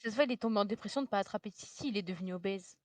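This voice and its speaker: A woman's voice: mid-range voice, rapid-fire, heavy background noise. A young woman in casual group chat, teasing and slangy. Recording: slightly distant from the mic.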